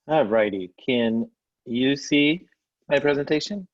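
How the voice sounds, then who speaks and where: A man's voice: medium pitch, dry recording. A man from Spain, a tour company head, presenting a travel slideshow on a video call with enthusiasm and frequent fillers. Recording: dull, dry video call audio.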